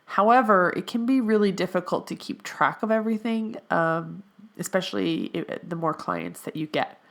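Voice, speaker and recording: A woman's voice: lowish voice, expressive, moderate pace, creaky, a bit bright. Nasal-sounding woman chatting on a podcast. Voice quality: nasal from allergies. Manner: chatty, with frequent fillers. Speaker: podcast host, health coach in training. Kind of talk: casual solo podcast monologue.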